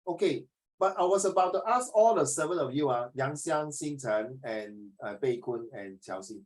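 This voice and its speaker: A man with a Singaporean English accent teaching a social studies class, animated and rambling, slipping into Mandarin now and then. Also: medium pitch, moderate pace, poor audio.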